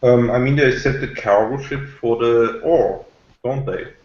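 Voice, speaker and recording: Guy on a review podcast, kinda halting and argues back, roomy dull call audio. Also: mid-range voice, darkish tone. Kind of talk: casual discussion.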